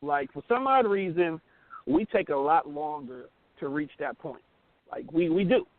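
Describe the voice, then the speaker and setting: High-pitched, animated man on talk radio. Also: unhurried, no room sound. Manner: emphatic, colloquial. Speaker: radio talk show co-host. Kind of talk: casual opinionated chat. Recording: thin phone-like band-limited sound.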